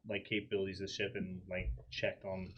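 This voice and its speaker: A man with a lowish voice chats through a tabletop game. He asks and reasons casually, with clear noise behind him. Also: monotone, brisk, highs cut off.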